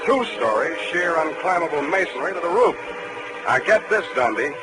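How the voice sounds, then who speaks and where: A man playing a wry, hard-boiled private detective, narrating in deadpan wisecracks in a 1940s radio drama. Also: on the high side, normal speed, close to an everyday voice, poor audio. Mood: tense, wry. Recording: old 1940s radio broadcast, music behind.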